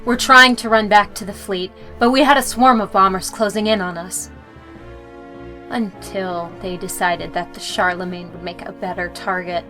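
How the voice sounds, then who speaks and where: Woman acting a brisk, firm female fighter major. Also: medium pitch, steady tone, bright, forceful tone, faint music behind, close to an everyday voice. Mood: businesslike, firm. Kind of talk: sci-fi audio drama acting.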